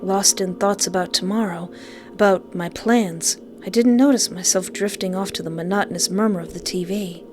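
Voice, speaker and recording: A woman's voice: medium pitch, normal speed. A woman reading a visual novel aloud dramatically, breaking off with playful, joking reactions. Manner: expressive, joking asides in exclamations. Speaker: video game player reading the story aloud.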